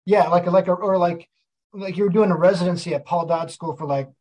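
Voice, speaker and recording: A man co-hosting a podcast and talking about his trip in a loose, easygoing way. His speech is heavy on "like" and "you know," and his voice sits a little high. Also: fairly quick, darkish tone. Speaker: podcast co-host. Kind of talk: casual chat.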